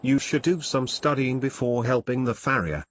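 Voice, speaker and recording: A man's voice: mid-range voice, expressive, normal speed. A man reading a scripted story for children as its narrator.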